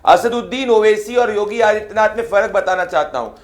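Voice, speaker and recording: A combative man argues his side in a televised debate, rattling off figures and numbered points. His voice sits very high. The sound is roomy and echoey. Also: fairly quick. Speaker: party spokesperson.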